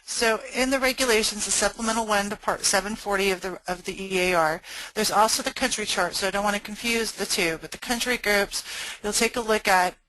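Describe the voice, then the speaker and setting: A woman's voice: medium pitch, fairly even intonation, fairly quick, fairly bright tone, dry recording, poor audio. A woman trade compliance consultant presenting a webinar, walking listeners through regulations step by step. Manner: explanatory, instructive.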